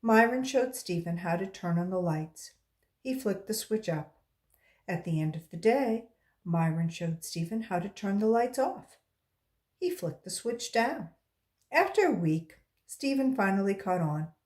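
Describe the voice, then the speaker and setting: Animated woman reading a children's story. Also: mid-range voice, a little slow. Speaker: volunteer storybook reader. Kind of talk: expressive read-aloud of a children's story.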